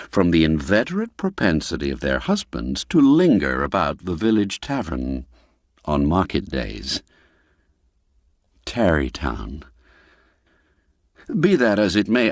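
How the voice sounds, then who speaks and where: A man narrating an audiobook story in a measured, deliberate way with dramatic pauses. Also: deep voice, no echo, studio quality.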